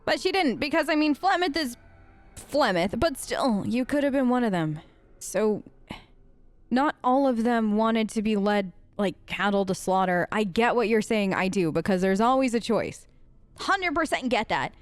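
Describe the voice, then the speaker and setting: A woman's voice: a little high, lively intonation, moderate pace, no room sound. A woman hosting a role-play let's play, improvising her character's lines in casual, earnest, informal talk.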